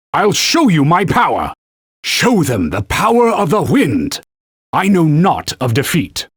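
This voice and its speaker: An actor playing a stern, proud talking sword who guides a hero with formal, old-fashioned speech in a video game. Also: male voice, fairly high pitch, slightly rough, no echo, studio quality, exaggerated voice. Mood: battle cry. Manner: formal, stern, archaic phrasing. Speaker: sword companion giving gameplay hints. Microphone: dry studio booth.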